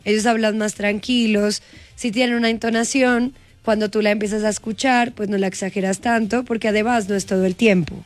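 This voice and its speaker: A woman's voice: medium pitch, steady tone, fairly quick, no room sound. A Colombian woman co-hosting a radio show, playful and mock-indignant in banter. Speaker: radio co-host. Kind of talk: joking call-in radio banter.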